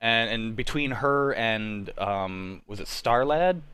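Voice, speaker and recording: A man's voice: medium pitch, animated, slow, a bit bright, clean tone, no room sound. A young adult man chatting casually as a panelist, giving enthusiastic, opinionated fan commentary. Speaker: panelist on a livestream. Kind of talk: casual chat about cartoons and TV.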